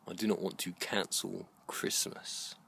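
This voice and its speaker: A middle-aged British man speaks in a very low voice with a gravelly creak. He delivers a reflective monologue in short, deliberate phrases, earnest and halting. Faint ambient noise sits behind him. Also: flat delivery, a bit bright. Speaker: video blogger. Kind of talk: slow reflective monologue to camera.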